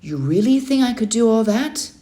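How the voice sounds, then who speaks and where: A woman's voice: fairly high pitch, expressive, moderate pace, dark tone, pitched above the performer's own voice, lightly acted. A woman voicing an unsure, curious schoolboy in a children's story read-aloud, hesitant and questioning. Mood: doubtful. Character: unsure, curious schoolboy, eager but nervous.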